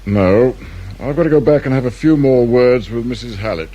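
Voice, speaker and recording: An actor with a British accent playing a shrewd, dry, irritable detective inspector in a radio crime drama. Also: male voice, mid-range voice, normal speed, mellow, lo-fi sound, natural voice. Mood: brisk, dry. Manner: probing, brusque, sardonic.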